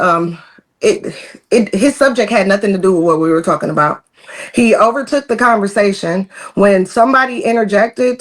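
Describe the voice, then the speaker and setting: Woman on a podcast panel, pushy and firm, keeps hammering her point. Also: medium pitch. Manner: assertive, argumentative, repeats for emphasis. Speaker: debate panelist. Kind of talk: heated debate, then explaining her view.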